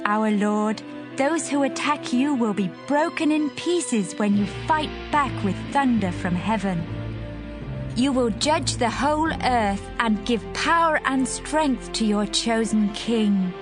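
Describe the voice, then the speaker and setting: A woman's voice: fairly high pitch, lively intonation, slow pace. A devout, grateful mother from the Bible, in an audio drama. She declaims with earnest reverence, and music plays prominently behind her. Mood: reverent, exultant. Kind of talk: dramatized scripture reading.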